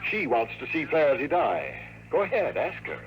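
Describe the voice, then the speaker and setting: An actor playing a scheming, power-hungry time traveler in 1950s radio drama, speaking with precise, menacing politeness. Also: male voice, fairly high pitch, huge pitch swings, moderate pace. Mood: commanding. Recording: noisy old radio drama recording.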